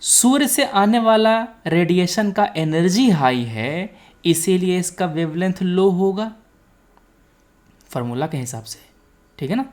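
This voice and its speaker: An Indian-accented woman teaches in a low voice with very lively intonation. She explains step by step at a slow pace, repeating key points and pausing to ask if it is clear. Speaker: teacher, exam coaching instructor. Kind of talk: explanatory lecture with check-in questions.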